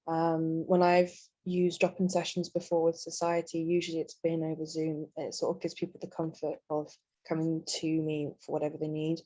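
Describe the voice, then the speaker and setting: A young woman standing as a student candidate, answering on a video call in a halting way with many ums. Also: low-pitched, monotone, dark tone. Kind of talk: answering a hustings question.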